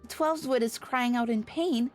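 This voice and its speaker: A woman's voice: a little high, normal speed, faint music behind, voice pushed up, lightly acted. A woman voicing an eager, earnest young girl apprentice healer in a fantasy game. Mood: pleading. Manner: pleading, earnest.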